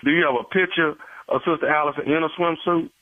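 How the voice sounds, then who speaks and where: A man's voice: fairly high pitch, steady tone, fast, no room sound, lightly acted. Man acting insistent American church fundraiser on phone. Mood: earnest, insistent. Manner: cajoling, insistent. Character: earnest, stubborn church fundraiser pitching a scheme. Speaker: prank caller. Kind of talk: improvised phone prank. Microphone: telephone. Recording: tinny phone line.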